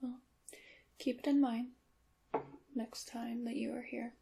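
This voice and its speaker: A woman playing a courteous witch shopkeeper in an ASMR roleplay, whispering softly and breathily with formal, polite, old-fashioned wording. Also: on the high side, flat delivery, slow, gravelly, slightly rough, exaggerated voice. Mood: gracious, calm. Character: courteous witch shopkeeper selling handmade magical wares.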